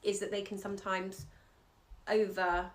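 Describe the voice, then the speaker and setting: A woman's voice: medium pitch, little pitch movement, a little slow. A Scottish woman, an astrologer, giving an earnest, encouraging chart reading in loose conversational speech.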